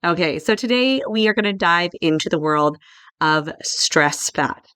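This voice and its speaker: A woman hosting a solo nutrition podcast, her voice croaky and hoarse from laryngitis, talking in an upbeat, conversational way and often tagging lines with "right?". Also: on the low side, lively intonation, unhurried, fairly bright tone. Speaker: podcast host, holistic nutrition consultant.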